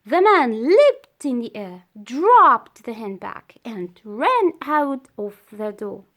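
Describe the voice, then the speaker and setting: A woman reads a children's storybook aloud in a fairly high voice. Her pace is extremely slow and careful, with pauses between short phrases. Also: sing-song, a bit bright. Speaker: storybook reader.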